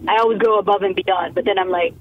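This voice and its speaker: A woman's voice: mid-range voice, steady tone, quick pace, a little hiss or hum. A young woman from Canada, a musician, chatting casually in an interview, rambling with many fillers and restarts. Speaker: musician guest. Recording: tinny phone line.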